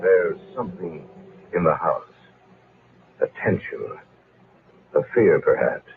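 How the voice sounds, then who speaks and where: An actor playing a grave man narrating a haunted tale in solemn, measured, portentous old-time radio style. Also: low-pitched, animated, gravelly, exaggerated voice. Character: grave man reading a dead friend's diary aloud. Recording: muffled, noisy old radio recording.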